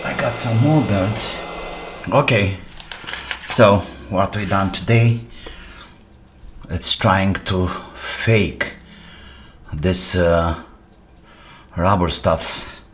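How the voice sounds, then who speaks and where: A man with a low voice and non-native English talks slowly through a hands-on repair. He thinks aloud in a halting, casual way, over clear background noise with clicks and clatter of parts. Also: fairly bright tone. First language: non-native English speaker. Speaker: repair channel host.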